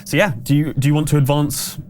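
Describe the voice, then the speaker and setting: A man's voice: a little high, flat delivery, fast, darkish tone, a little hiss or hum. A man working as game master, improvising tabletop narration in a conversational, explanatory way with hesitant phrasing.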